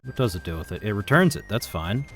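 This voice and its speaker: A man's voice: fairly low pitch, big pitch swings, quick pace, a bit bright. A man giving casual, informal live coding commentary as a streaming programmer, muttering to himself as he works. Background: chiptune-style keygen music.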